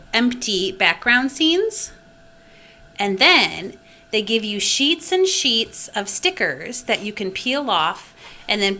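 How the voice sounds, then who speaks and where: A woman's voice: mid-range voice, bright, forceful tone. A woman hosting a homeschool recommendation vlog, talking casually and encouragingly as one parent to others. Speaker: homeschool video host and parent.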